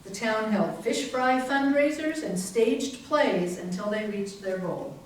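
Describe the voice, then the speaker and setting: A woman's voice: mid-range voice, expressive, takes it slowly. A woman narrating a story from a script in readers theater. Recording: distant mic, very echoey room, faint noise.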